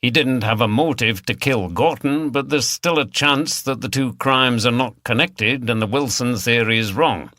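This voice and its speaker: A senior police detective, acted by a male audiobook reader, brisk and in charge. He gives orders decisively in a bright, forceful tone. The sound is dry and close. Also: medium pitch, no echo, lightly acted. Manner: decisive, commanding. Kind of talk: audiobook character dialogue.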